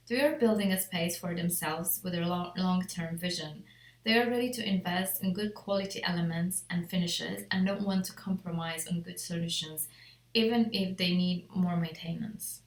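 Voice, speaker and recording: The recording has a clearly echoey room sound around a woman's voice. She speaks a little low, reading a prepared design presentation. Her delivery is measured and formal, like a designer walking through a written brief. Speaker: interior designer presenting a client project. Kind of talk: scripted presentation narration.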